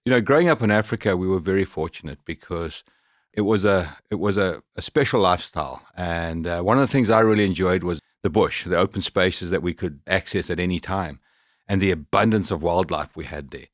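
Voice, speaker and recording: A middle-aged man with a deep voice and a Zimbabwean accent talks as a podcast guest. He tells his story conversationally, in a very dry recording. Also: a little fast, no room sound. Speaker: podcast guest, professional hunter.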